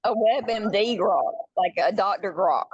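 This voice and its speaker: A woman's voice: mid-range voice, animated, slow pace, highs cut off. A woman taking part as a moderator in a casual discussion, heard over online call audio. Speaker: moderator and regular participant.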